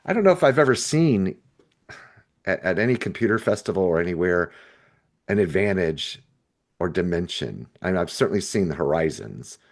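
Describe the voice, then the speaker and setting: Low voiced guy chatting on a podcast, kinda rambles and searches for words as he goes. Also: very animated, normal speed, vocal fry. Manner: hesitant, rambling, thinking aloud. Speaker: podcast co-host. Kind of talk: casual unscripted discussion of a book chapter.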